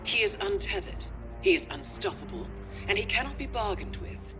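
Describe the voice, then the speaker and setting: A woman playing a cool, ominous spy in a video game cutscene, speaking with deliberate weight. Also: on the high side, very animated. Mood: ominous, warning. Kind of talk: video game cinematic acting. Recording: music behind the voice.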